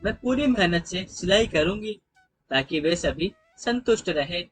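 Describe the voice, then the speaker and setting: A performer voicing a poor, humble village seamstress mother, gentle and earnest, in a moral story. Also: mid-range voice, lively intonation, a little slow, faint music behind, lightly acted. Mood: grateful.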